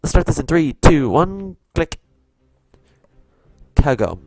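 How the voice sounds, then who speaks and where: A woman giving casual, somewhat halting reaction commentary as the host of a reaction video. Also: deep voice, very animated, a little mellow, no room sound. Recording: clearly noisy home recording with clicks.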